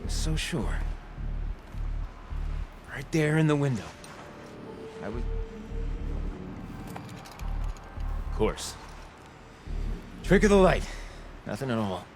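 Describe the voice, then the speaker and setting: Eccentric, chatty old tinkerer, swooping theatrically. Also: male voice, medium pitch, big pitch swings, measured pace, pauses often, soft background music. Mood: crestfallen. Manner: verbose, flowery, theatrical phrasing. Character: chatty, eccentric older male tinkerer and treasure hunter, excitable. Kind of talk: video game character acting.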